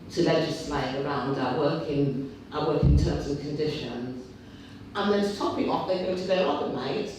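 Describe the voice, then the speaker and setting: A man with a big, loud voice giving an impassioned union rally speech, repeating phrases to build his point. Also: fairly high pitch, distant mic, slight background noise. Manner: impassioned, repetitive rhetorical buildup. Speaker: trade union speaker. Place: meeting hall. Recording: very echoey hall, picked up from afar.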